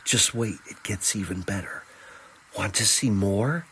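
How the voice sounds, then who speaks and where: A man voicing a gentle, protective young man who speaks in a reassuring, earnest, casual way in a fantasy audio story. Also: fairly low pitch, measured pace, husky, full character voice. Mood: tender.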